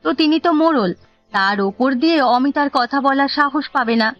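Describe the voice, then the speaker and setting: A woman plays a conniving village wife in a cartoon story. She nags and goads insistently in a fairly high voice. The recording is muffled and lo-fi, with soft music behind. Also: animated, brisk. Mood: scheming. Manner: insistent, goading. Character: conniving, nagging village wife of elder brother. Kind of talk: Bengali cartoon story dubbing. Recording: dull lo-fi audio, music bed.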